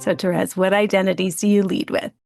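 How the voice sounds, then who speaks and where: A woman's voice: medium pitch, little pitch movement, fast, gravelly, darkish tone, no room sound, studio quality, soft background music. A woman hosting a podcast, talking in a chatty, enthusiastic way with plenty of fillers. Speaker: podcast host. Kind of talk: casual podcast chat.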